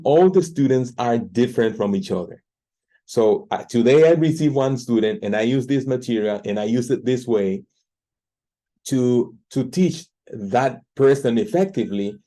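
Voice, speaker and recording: Earnest Dominican-accented man, mellow tone. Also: medium pitch. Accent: Dominican Spanish. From Dominican Republic. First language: Spanish. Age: 40s. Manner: earnest, with restarts and fillers. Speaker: language tutor. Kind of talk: self-introduction to camera. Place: home teaching office. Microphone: computer camera.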